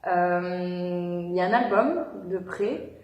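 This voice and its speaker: Young woman singer, slightly low voice, halting and filler-heavy, recorded in a roomy space. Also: darkish tone. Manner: hesitant, many fillers and restarts. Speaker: singer being interviewed. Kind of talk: casual interview chat. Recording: echoey room.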